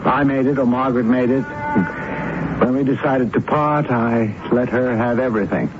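An actor playing a proud, hard-up drama critic with formal, fastidious phrasing in a 1970s radio drama. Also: male voice, medium pitch, steady tone, music in the background, natural voice. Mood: uneasy, proud. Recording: muffled, noisy old radio broadcast recording.

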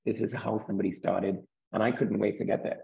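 Croaky, gravelly man, low and dark. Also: level tone, fast talker, lo-fi sound. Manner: earnest, repeats words as he restarts. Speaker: guest, property investor. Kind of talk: interview conversation.

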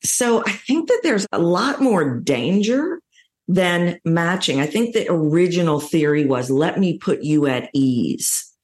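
A woman's voice: lowish voice, very animated, unhurried, a little mellow. A middle-aged woman with a Texan accent talking emphatically in a conversational interview as a voice coach. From Texas, United States. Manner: emphatic, illustrates points with acted-out quotes. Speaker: voice coach, podcast guest.

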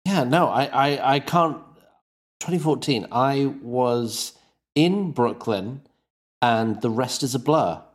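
A man with a medium-pitched voice chats casually on a commentary track. His delivery is halting, doubling back on words as he goes. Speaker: podcast creator giving commentary. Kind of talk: casual group commentary chat.

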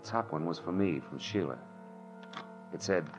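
A hardboiled American private eye with a very low voice and clipped, wry delivery. It is a 1940s radio detective drama, heard on a dull old recording with music. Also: male voice, expressive, moderate pace. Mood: terse, wry. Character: hardboiled male private detective narrating his case, world-weary and wry. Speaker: lead actor and narrator.

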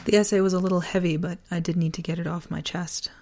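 A woman hosting a personal podcast, talking earnestly and deliberately, her voice partly gone from a sore throat. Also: lowish voice, little pitch movement, loud music behind the voice. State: losing voice from a sore throat virus. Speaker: podcast host. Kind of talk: personal podcast, reflective essay and casual chat.

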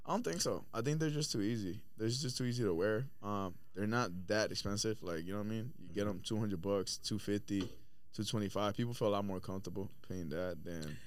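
A man's voice: lowish voice, brisk, dry recording. An American man on a podcast panel, chatting casually in a laid-back, slangy way with some swearing. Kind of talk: casual chat about sneakers. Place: podcast studio.

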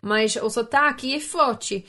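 Slow-spoken Canadian woman learning Portuguese. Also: fairly high pitch, pushed and bright. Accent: Canadian English. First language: English. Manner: halting learner's Portuguese, searching for words. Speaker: podcast guest. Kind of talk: casual chat.

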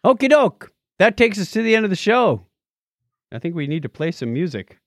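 A man's voice: high-pitched, very animated, brisk, a little hiss or hum. A man hosting a podcast in a casual, playful and enthusiastic chatting style. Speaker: podcast host.

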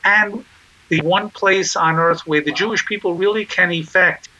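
Measured male interview guest, bright tone. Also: sits high, steady tone, dry recording, lo-fi sound. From Israel. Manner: measured, deliberate, with frequent pauses and restarts. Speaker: guest, nonprofit chairman. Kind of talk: interview answers.

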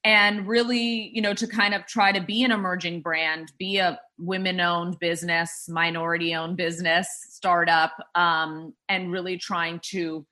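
A woman's voice: on the low side, little pitch movement, a little slow, few pauses, bright, forceful tone. A woman who founded a drink startup, talking in a casual interview, rambling with many fillers and restarts.

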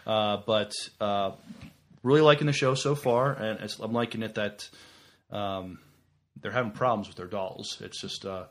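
A man co-hosting a podcast in casual fan chat, hesitant and often stammering over his words. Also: on the low side. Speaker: podcast co-host.

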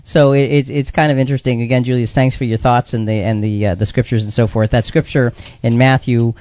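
A male radio host leads a religious discussion in a conversational style. His voice sits at a middling pitch. The sound is dry and somewhat dull, like band-limited radio audio. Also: steady tone, fairly quick, no echo.

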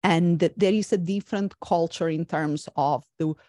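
Low-voiced Portuguese-accented woman host. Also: mellow, no echo. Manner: reflective, halting with filler words. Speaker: podcast host. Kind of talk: conversational interview.

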